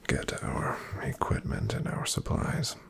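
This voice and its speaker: A man in an ASMR roleplay voicing a calm, careful paladin travel companion, softly whispering to the listener. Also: a little slow, close to an everyday voice. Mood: calm hushed. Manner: soft, gentle whispering to the listener.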